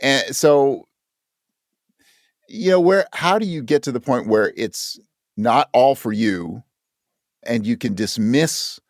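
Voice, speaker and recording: Animated, candid man in dry close sound. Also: mid-range voice, takes it slowly, pauses often, no echo. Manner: candid, self-questioning, quotes his inner thoughts. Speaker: interview guest, audio drama creator. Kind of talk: casual conversation.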